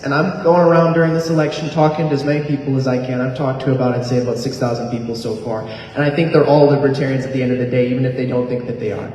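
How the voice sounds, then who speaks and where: A man's voice: medium pitch, a little mellow. A man giving an earnest campaign speech at a debate, hammering on repeated phrases. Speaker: candidate. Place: community hall. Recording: echoey hall, distant mic.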